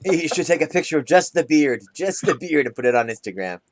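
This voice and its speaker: Guy cracking jokes on a livestream, kinda high voice, always laughing. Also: lively intonation, a little fast, slight background noise. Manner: joking, laughs often, acts out quotes. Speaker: livestream co-host. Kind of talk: casual chat.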